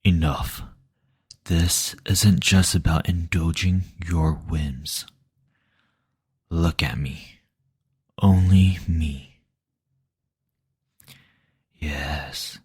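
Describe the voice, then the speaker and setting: A man voicing a stern, possessive demon lord in a slow, domineering, intimate roleplay style. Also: low-pitched, darkish tone, full character voice. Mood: possessive, commanding. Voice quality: breathy, husky. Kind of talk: intimate scripted roleplay audio.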